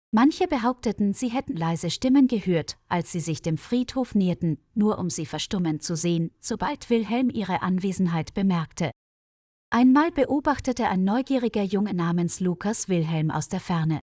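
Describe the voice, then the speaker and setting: A woman reading a scripted mystery story for language learners in a measured, careful storyteller's delivery. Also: mid-range voice, warm tone, dry recording, studio quality.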